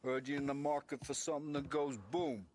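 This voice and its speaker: An actor playing a sleazy, chummy gangland arms dealer with a leering manner in a video game cutscene. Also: male voice, medium pitch, little pitch movement, brisk, noisy background, close to an everyday voice. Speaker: weapons supplier.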